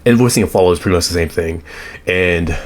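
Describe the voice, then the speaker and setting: A young man with a hoarse voice, just over an illness, giving a rambling casual tutorial on automations and correcting himself as he sketches on an iPad. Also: low voice, quick pace. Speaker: automation consultant. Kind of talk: casual screen-share tutorial.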